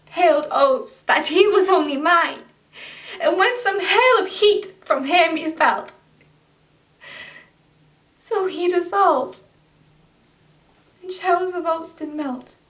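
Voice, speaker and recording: A young woman playing a lovesick young woman who speaks Shakespearean verse in a measured, deliberate way, recorded from a distance. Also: high-pitched, a bit bright, heavily acted. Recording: distant camera mic.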